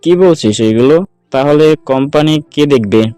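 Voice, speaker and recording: A man's voice: fairly high pitch, normal speed, dark tone, smooth, no echo, soft background music, close to an everyday voice. A man playing an easygoing young newlywed husband in an audio story drama. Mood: surprised, agreeable.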